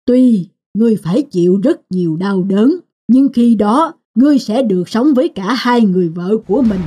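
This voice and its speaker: A woman's voice: mid-range voice, lively intonation, moderate pace, dark tone, slightly rough. A woman playing a stern, wise goddess of love in a cartoon, solemn and admonishing. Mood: grave. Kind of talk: Vietnamese cartoon dialogue.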